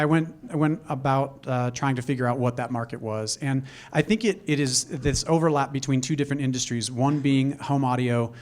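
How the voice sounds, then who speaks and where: A man giving a business pitch on stage through a microphone, halting and repeating words as he addresses a live audience. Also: darkish tone. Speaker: entrepreneur pitching a product.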